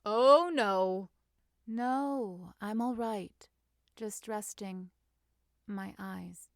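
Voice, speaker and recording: Drowsy young romance heroine, played by a female narrator: hushed, dazed, slow, close dry recording. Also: mid-range voice, no echo. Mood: drowsy, fading. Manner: sleepy, mumbling, hushed. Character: young woman Penelope, drowsy, dazed and wistful.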